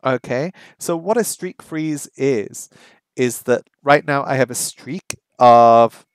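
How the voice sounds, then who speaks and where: A middle-aged man talks casually into a headset mic, close and dry. He chats to viewers, stops to sound out practice phrases slowly, and repeats words as he works through them. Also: mid-range voice, clean tone, dry recording. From English first language, lives in Hong Kong. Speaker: streamer learning Japanese. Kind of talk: casual live-stream chat. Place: small home room by a window.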